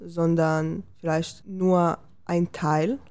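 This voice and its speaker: Young woman, lowish warm voice, careful deliberate german, panel guest. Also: little pitch movement, clear, resonant tone. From Israel. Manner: careful, deliberate non-native phrasing. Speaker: panel guest, language student. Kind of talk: panel discussion.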